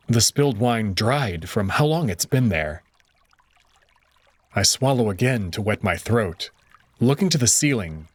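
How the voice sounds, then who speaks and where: A man narrating a visual novel in the first person, measured and tense. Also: on the low side, breathy edge, no echo.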